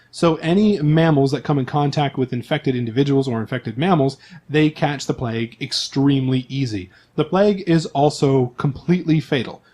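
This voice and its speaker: A man's voice: medium pitch, darkish tone. A man speaking as host and game master, explaining things to players and listeners in a chatty, casual way.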